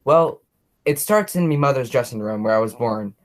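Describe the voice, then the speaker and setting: A man's voice: mid-range voice, lively intonation, moderate pace, lightly acted. A young man playing a chatty, oblivious policeman who dreams of writing plays, in a radio drama. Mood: eager, self-absorbed. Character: chatty, oblivious policeman and eager amateur playwright. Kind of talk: radio play acting.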